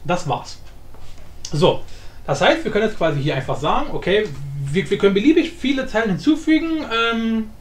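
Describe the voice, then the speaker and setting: A man hosting a casual, unscripted software tutorial, thinking aloud informally and repeating himself. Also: high-pitched, animated, moderate pace. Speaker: tutorial host. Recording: roomy echo with faint background noise.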